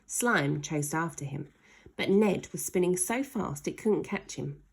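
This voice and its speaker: Woman, children's story read-aloud, husky with gravelly creak, dramatic word stretching, unhurried. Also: deep voice, animated. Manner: dramatic, drawn-out emphasis on key words. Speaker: teacher reading aloud. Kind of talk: expressive children's story reading.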